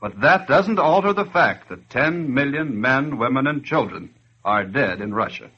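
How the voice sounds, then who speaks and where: A man's voice: medium pitch, expressive, moderate pace, slightly rough, dull audio. A man playing an earnest wartime relief speaker, solemn and admonishing in 1940s radio style. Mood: solemn urging.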